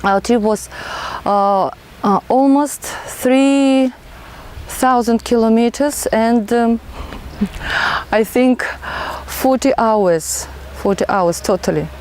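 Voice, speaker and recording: A woman's voice: a little high, slow pace, pauses often, darkish tone. A woman speaking as a group leader in a documentary interview. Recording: faint background noise.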